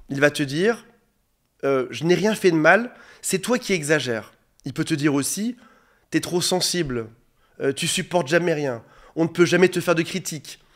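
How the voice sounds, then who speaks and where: Man with a head cold, slightly hoarse. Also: a little high. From France. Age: 40s. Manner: earnest, direct, addresses viewer informally. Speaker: relationship coach. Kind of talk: coaching video monologue. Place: indoor studio under bright lights. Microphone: facing a camera.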